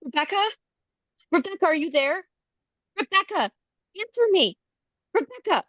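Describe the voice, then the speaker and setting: Woman playing a worried young beauty queen, high urgent voice, insistent, horror table read, slightly dull sound. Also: lively intonation, moderate pace, a bit bright, no room sound. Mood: frantic. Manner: urgent, pressing.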